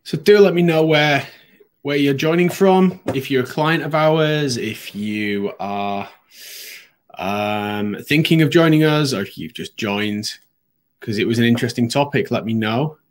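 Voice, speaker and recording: A man's voice: medium pitch, a little slow. A man hosting a live business webinar, a bit sniffly from hay fever, talking conversationally with frequent ums and restarts. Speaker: webinar host.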